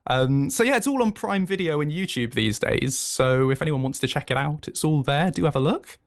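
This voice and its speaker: A British man with a slightly high voice talks as a radio interview guest. He is effusive and enthusiastic, explaining things in an easy conversational way. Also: expressive, moderate pace. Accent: British English. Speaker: interview guest, audio drama producer.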